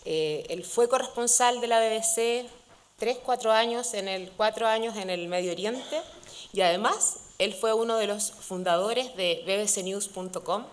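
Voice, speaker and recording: A woman academic formally introducing a guest speaker, measured with occasional fillers. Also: mid-range voice.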